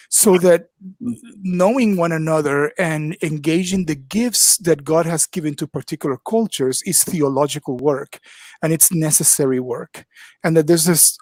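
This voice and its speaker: An older man speaks slowly and reflectively, often pausing with "um". He talks into a headset on a video call from his kitchen. The sound is dry and close, with no room echo. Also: on the high side, darkish tone. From Dominican Republic. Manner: thoughtful, frequent ums, measured. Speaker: panelist, minister of worship arts. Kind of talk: reflective panel talk. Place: home kitchen.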